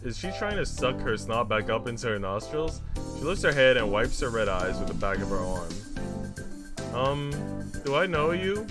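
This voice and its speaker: A man's voice: lowish voice, animated, a little fast, over music. A man livestreaming a visual novel, reading it aloud and chatting casually with informal, joking asides.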